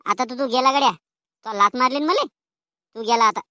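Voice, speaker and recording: A quarrelsome village schoolboy from a comedy cartoon, played by a woman, with a high voice and a rural Maharashtrian accent. He talks in slangy taunts and is quick to blame others. The sound is dry, without any room. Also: bright, forceful tone, no room sound.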